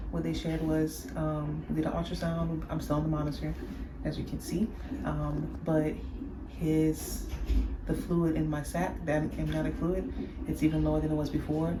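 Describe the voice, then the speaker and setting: Woman, low voice, chatty and friendly, casual vlog, echoey hospital room, background noise. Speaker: expectant mother, vlogger.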